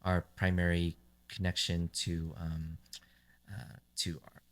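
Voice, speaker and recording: Deep-voiced American-born podcast host. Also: male voice, takes it slowly. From United States. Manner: relaxed questions, frequent "um" and "like". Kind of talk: casual interview chat.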